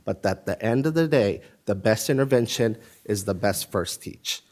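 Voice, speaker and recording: Emphatic low-voiced man explaining plans. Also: expressive, moderate pace, darkish tone. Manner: explanatory, emphatic, insists on finishing. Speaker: school district administrator. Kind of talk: board meeting presentation. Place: board meeting room.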